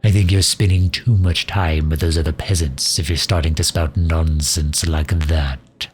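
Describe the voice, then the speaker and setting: A man playing a sardonic, loyal fantasy mage advisor in an intimate ASMR roleplay, dry and grumbling, with a husky, breathy delivery. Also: extremely low pitch, steady tone, normal speed. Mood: sardonic, prickly. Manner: dry, grumbling, sharp-tongued, with hesitant breaks.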